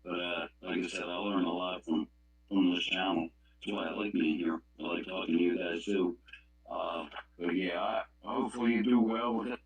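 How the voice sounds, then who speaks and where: A man's voice: low voice, fairly even intonation, moderate pace, husky, highs cut off. A man chatting casually on a radio club net in a rambling, folksy way. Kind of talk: casual chat over a walkie-talkie app.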